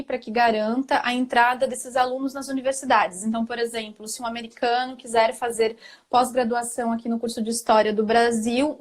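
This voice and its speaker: Brazilian lady teacher on a video call, high voice, lots of "né", explains slowly. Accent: Brazilian Portuguese. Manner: thoughtful explainer, many fillers and tag questions. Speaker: Portuguese language teacher. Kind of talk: explaining in a live online talk.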